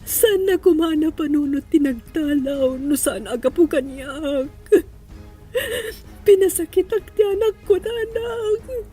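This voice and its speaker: A very high, bright-edged yet soft-focused voice from a woman acting a remorseful mother in a radio drama. She is slow, tearful and pleading, with an Ilocano accent and a mellow tone over faint music. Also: animated. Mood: anguished, guilty. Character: distraught, remorseful mother searching for her lost child.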